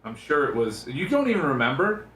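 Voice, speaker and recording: A man's voice: medium pitch, big pitch swings, fairly quick, pushed and bright. A male radio co-host trading casual, joking banter in a teasing, needling manner, with an echoey room sound.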